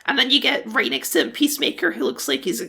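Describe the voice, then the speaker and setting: A woman co-hosting a relaxed film podcast in a high voice thick with vocal fry and a husky edge. She sounds laid-back and wry, dropping dry questions into the chat. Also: quick pace. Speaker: podcast co-host. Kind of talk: casual film-review chat.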